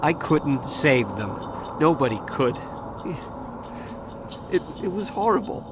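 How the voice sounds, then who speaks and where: An actor playing a jittery, cowardly dock worker who stammers and gets defensive, with game music behind him. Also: male voice, a little high, big pitch swings, slow, noisy background. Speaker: survivor witness. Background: game score music.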